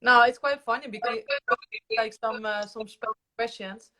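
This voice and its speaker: A woman's voice: a little high, steady tone, normal speed, pushed and bright, soft background music. A Dutch woman with a Dutch accent, chatting as a guest, bubbly and laughing, with hesitant restarts. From Netherlands. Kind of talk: casual chat. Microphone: phone.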